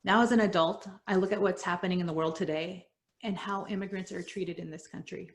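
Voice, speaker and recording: Middle-aged woman, Spanish first language, low-ish voice; measured, earnest personal storytelling. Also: fairly even intonation. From United States. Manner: earnest, measured, dropping soft at line ends. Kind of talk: reading a personal essay. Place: home, virtual event.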